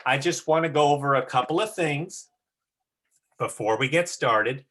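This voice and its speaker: A drama teacher giving deliberate, emphatic instruction in short phrases during an online rehearsal. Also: mid-range voice, fairly bright tone. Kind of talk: instructional talk on a video call.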